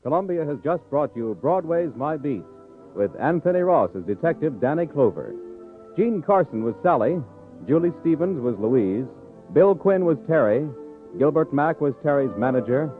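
A male announcer reads scripted credits in a 1950s radio style. His voice is fairly high and very animated. The broadcast is tinny, with faint music behind him. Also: normal speed.

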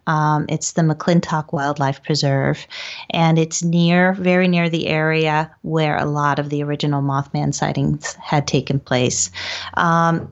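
A middle-aged woman recounting an experience in a conversational way as an interview guest. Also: low-pitched. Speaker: guest, author and psychic medium. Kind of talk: conversational storytelling. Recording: dull, lo-fi sound.

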